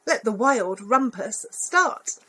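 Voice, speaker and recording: A woman's voice: fairly high pitch, expressive, slow, noisy background. Woman voicing a bossy wild little boy. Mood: gleeful. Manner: commanding, exclaiming.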